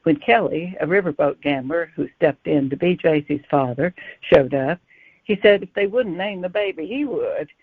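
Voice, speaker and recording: A woman's voice: low-pitched, moderate pace, slightly rough, no echo. A woman author answering as an interview guest, explaining in a storytelling style over a narrow phone-like line.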